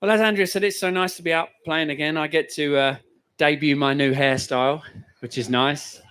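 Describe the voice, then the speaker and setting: A man's voice: a little high, fairly even intonation, a little fast, fairly bright tone. A man chatting between songs in a live radio session, introducing his band's song with a joking, self-mocking manner and plenty of fillers.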